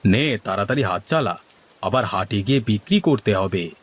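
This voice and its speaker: A man's voice: on the low side, animated, moderate pace. A man voicing village men in a cartoon, from schemers to worriers, with theatrical, exaggerated dialogue delivery. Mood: commanding.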